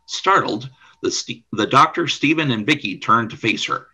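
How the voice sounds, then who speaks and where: A man's voice: medium pitch, expressive, moderate pace, pushed and bright, breathy edge. A man reading scripted narration for a radio play, describing scenes and action.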